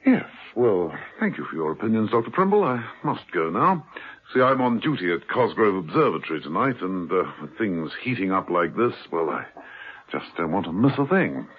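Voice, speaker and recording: An actor playing a suave, jaunty male gentleman spy who talks in a breezy, wry way, in a 1970s radio drama. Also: fairly low pitch, very animated, moderate pace, husky. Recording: tinny old radio broadcast.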